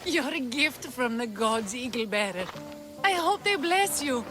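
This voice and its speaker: A woman's voice: high voice, lively intonation, unhurried, over music. A woman playing an earnest, imploring villager in distress, in a dramatic game cutscene. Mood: weary gratitude. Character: desperate, pleading plague-stricken villager woman.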